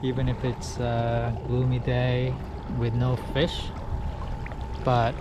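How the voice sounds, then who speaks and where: A man in his forties, a little tipsy, talking casually to a kayak-mounted camera on the sea, rambling with lots of fillers. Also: medium pitch. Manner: slow, relaxed, rambling with many fillers. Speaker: fishing vlogger. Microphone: action camera mounted on the kayak. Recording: clear sea wind and water noise. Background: wind and water noise.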